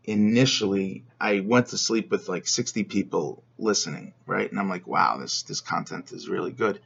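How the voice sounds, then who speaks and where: A man's voice: on the low side, gravelly, sharp tone, breathy edge, lo-fi sound. A man telling a story in a rambling, laid-back way over a call. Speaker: guest. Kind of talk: casual chat.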